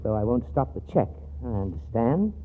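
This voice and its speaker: A man's voice: mid-range voice, very animated, moderate pace, lightly acted. A narrow, faintly noisy 1940s radio recording carries a prim young millionaire played by an actor. He is earnest and stuffy, choosing formal, precise words with pompous hesitation in a mid-range voice. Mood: indignant. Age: young adult. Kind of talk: 1940s radio comedy acting.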